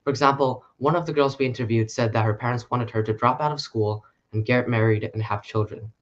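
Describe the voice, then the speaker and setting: A man's voice: medium pitch, brisk, highs cut off. Earnest young man giving a talk. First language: English. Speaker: presenter. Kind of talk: short conference talk.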